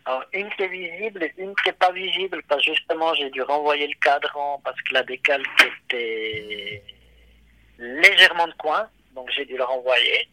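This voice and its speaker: A man with a Swiss French accent, a watchmaker being interviewed, talking casually with many pauses and restarts. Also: on the high side, pushed and bright, low-quality recording. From Switzerland. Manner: hesitant, many fillers and restarts. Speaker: watchmaker and guest.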